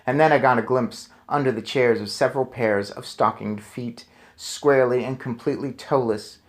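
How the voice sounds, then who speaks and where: A man reading a children's book aloud as a storyteller, with expressive, deliberate phrasing. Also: mid-range voice. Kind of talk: expressive children's book narration with casual asides.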